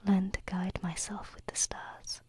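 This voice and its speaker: A woman voicing a gentle, playful, wistful alien princess in an ASMR roleplay, whispering breathily and tenderly, close to a binaural microphone. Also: mid-range voice, flat delivery, close to an everyday voice. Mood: self-deprecating, tender. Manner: slow, hesitant, tender, softly teasing.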